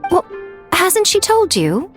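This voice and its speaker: A woman's voice: very high voice, huge pitch swings, slow pace, faint music behind, full character voice. A woman voicing a sly, elegant, self-assured shrine priestess in a video game, with a coy, teasing, theatrical manner. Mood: coy, mock pitying.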